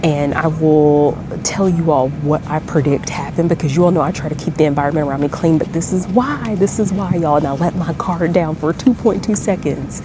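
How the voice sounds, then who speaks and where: A woman, chatting casually to her viewers from an airplane seat, her voice slightly deepened by a throat tickle. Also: moderate pace, hardly any pauses, over music. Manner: chatty, addresses viewers as y'all and friends. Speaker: travel vlogger. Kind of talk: casual vlog chat to camera.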